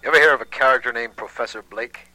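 An actor playing a matter-of-fact official making a report in a 1940s radio detective drama. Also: male voice, mid-range voice, fast, sharp tone, low-quality recording. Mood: businesslike. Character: brisk male official reporting a case by telephone.

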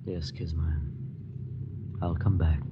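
A man's voice: a bass voice, level tone, slow. An actor playing a wary young man who narrates and lives through his own peril in a suspenseful old radio drama. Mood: weary, grim. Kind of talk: 1940s radio drama acting, suspenseful first-person narration. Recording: dull vintage radio recording.

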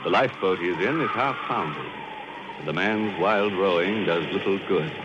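Actor as deep-voiced ghoulish horror host, macabre punster, dull old radio sound. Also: male voice, moderate pace, background noise, lightly acted. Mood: mocking. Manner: macabre puns, mocking chuckles. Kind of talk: 1940s radio acting. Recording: dull 1940s radio broadcast.

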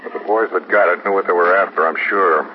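An actor playing a seasoned American police lieutenant, gruff, clipped and businesslike, in a 1950s radio drama. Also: male voice, deep voice, steady tone, quick pace, lightly acted. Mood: confident, wry. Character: terse, seasoned male police lieutenant giving orders. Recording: muffled, noisy 1950s radio recording.

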